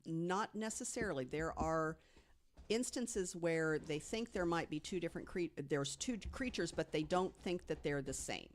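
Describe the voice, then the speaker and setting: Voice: mid-range voice, animated, moderate pace, a little hiss or hum. A podcast panelist reading prepared mystery stories aloud, with conversational asides.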